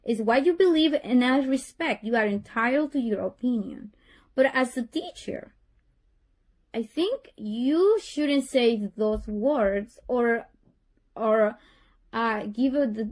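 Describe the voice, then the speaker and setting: A middle-aged woman from Honduras with a Honduran Spanish accent, speaking as a parent in a tense call. She talks earnestly, repeats words and restarts her sentences, and her voice sits a little high. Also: darkish tone.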